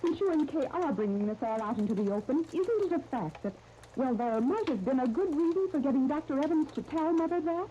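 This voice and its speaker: Stubborn grown daughter in old radio soap, high voice, kinda mellow, hissy old record. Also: female voice, lively intonation, a little fast. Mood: defensive. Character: headstrong, doubting young grown daughter. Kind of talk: 1930s radio soap opera acting. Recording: muffled, noisy 1939 radio disc recording.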